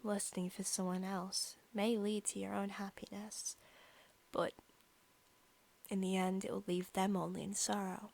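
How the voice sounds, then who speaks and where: An obsessive, sadistic vampire woman , acted out by a female ASMR performer, murmurs at a very slow pace. Her soft, breathy voice crackles with vocal fry and slips into whispers. She is teasing and menacing, like a predator toying with a pet. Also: mid-range voice. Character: obsessive, sadistic centuries-old female vampire posing as student. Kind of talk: ASMR roleplay acting.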